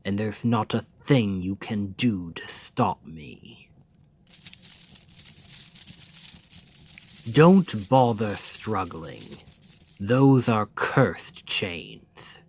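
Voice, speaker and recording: A man voicing a scheming, seductive demon king in an ASMR roleplay, speaking slowly and often in a close whisper. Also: on the low side, heavily acted. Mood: gloating. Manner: slow, deliberate, often whispered.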